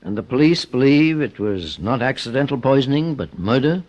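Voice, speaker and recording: An actor playing a composed private investigator in an old radio mystery, courteous, measured and probing. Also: male voice, medium pitch, expressive, a little mellow, lightly acted. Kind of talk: old-time radio drama acting. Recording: dull, lo-fi old radio recording.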